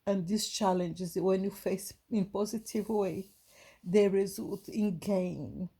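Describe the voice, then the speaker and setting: Brazilian lady talking slow and soft about spirit stuff, mellow voice, lots of stops and restarts. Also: mid-range voice, slightly rough. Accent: Brazilian Portuguese. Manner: slow, gentle, halting with many restarts. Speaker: spiritual vlogger. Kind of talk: inspirational talk to camera.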